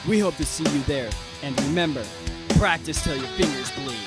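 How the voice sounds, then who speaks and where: A man's voice: on the high side, sing-song, moderate pace. A man reading a scripted radio promo for a school club. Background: loud guitar music.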